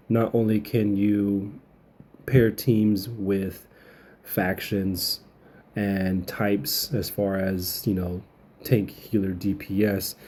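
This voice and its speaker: A man's voice: on the low side, warm tone, husky. A man getting over a cold, giving casual, rambling video game update commentary as a channel host.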